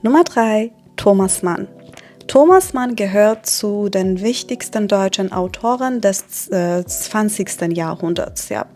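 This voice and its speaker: A young woman from Iran who teaches German, hosting her channel and presenting books in a clear, measured teaching style with occasional hesitations. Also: mid-range voice, expressive, darkish tone, soft background music. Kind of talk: slow, clear teaching narration for learners.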